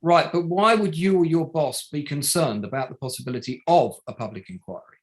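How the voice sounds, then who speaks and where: An actor playing a probing, sardonic barrister at a public inquiry in a play reading, formal and pointed, pressing with rhetorical questions. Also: male voice, a little high, brisk, natural voice. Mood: formal, accusing. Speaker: actor in an online table read. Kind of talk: courtroom-style cross-examination in a play reading.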